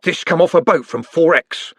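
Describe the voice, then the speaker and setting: A man's voice: fairly high pitch, moderate pace, a bit bright, slightly rough, no room sound, pitched above the performer's own voice. A man doing a defensive jokey lad. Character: defensive young male dockhand fan, joking.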